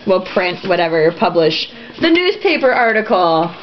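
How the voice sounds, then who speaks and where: A woman's voice: lowish voice, big pitch swings, moderate pace. A woman reading a novel aloud in an irreverent, sarcastic, giggly way, stumbling over sentences and dropping into casual asides. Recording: dull lo-fi home recording, some noise.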